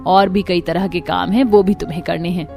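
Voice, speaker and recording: A woman's voice: on the low side, fairly even intonation, brisk, creaky, pitched below the performer's own voice. Casting: female narrator as commanding middle-aged mother-in-law, cartoon family drama, faint music underneath. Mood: stern, bossy. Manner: stern, commanding.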